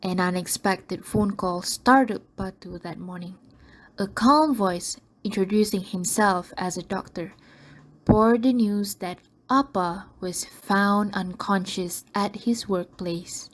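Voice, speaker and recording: Woman reading out a radio drama story, slow pace, normal pitch. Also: a little hiss or hum, low-quality recording. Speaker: narrator.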